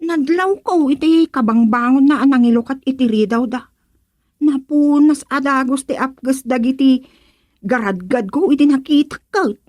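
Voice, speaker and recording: A woman's voice: high-pitched, normal speed, a little mellow, dry recording, lightly acted. An actress playing a fussy, gossipy village woman in an Ilocano radio comedy. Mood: gossipy, fussing. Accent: Filipino, Ilocano.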